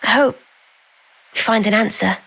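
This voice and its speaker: A woman's voice: medium pitch, moderate pace, creaky, low-quality recording. A woman voicing an earnest young female fighter in a video game cutscene. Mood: hopeful. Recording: loud music behind the voice.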